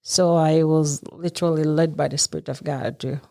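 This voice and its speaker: A middle-aged woman with a Jamaican accent and a deep voice talks as a podcast guest. She tells personal stories conversationally, recorded dry and close. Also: fairly even intonation, normal speed, vocal fry, warm tone, dry recording.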